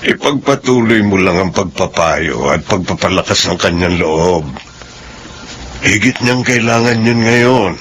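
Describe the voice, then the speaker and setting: An actor playing a stern, exasperated middle-aged Filipino uncle with a gravelly voice in a radio drama. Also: male voice, deep voice, expressive, measured pace, fairly bright tone, slight background noise. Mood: disapproving. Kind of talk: Philippine radio drama acting.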